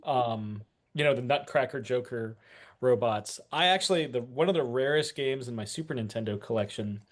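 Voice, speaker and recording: A man's voice: mid-range voice, moderate pace. A man co-hosting a podcast in casual chat, with loose, rambling asides. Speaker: podcast co-host.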